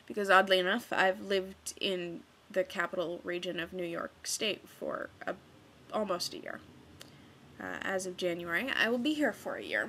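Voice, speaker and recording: A bright-voiced young woman from Kansas reads a story aloud, then drifts into casual chat. She fills pauses with ums and restarts. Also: mid-range voice, slight background noise. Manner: chatty with hesitant fillers. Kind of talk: casual voice blog, reading a book aloud.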